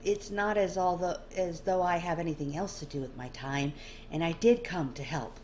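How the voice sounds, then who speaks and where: A woman's voice: lowish voice, moderate pace, a little mellow, lightly acted. A woman voicing a polite, self-deprecating female elven linguist in a storybook reading. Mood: modest, earnest. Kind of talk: storybook character dialogue. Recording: faint background noise.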